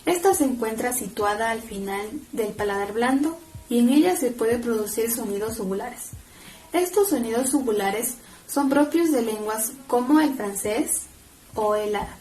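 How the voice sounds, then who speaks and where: A woman's voice: a little high, animated, normal speed, a little mellow. A young adult woman giving an explanatory class presentation as a student, in an echoey recording. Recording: echoey room sound.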